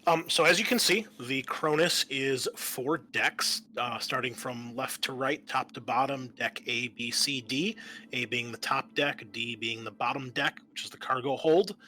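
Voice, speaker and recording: A man acting as game master, explaining a tabletop roleplaying game in a casual, halting way. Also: mid-range voice, bright, forceful tone, slight background noise.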